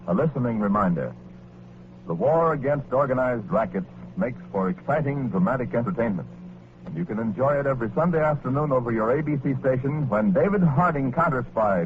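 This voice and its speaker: An American man announcing a scripted promo as a 1940s network radio announcer. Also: mid-range voice. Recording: tinny, noisy old radio broadcast.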